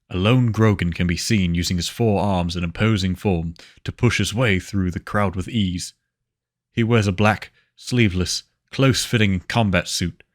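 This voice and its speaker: A man's voice: low voice, no echo, studio quality. A man reading scripted story narration as the narrator of an audio drama.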